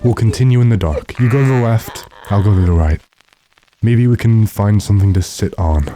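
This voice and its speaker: A man playing a weary, decisive gentleman master with a dry, commanding manner in an old-time radio drama. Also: on the low side, normal speed, crowd noise, natural voice, low-quality recording. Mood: resolute.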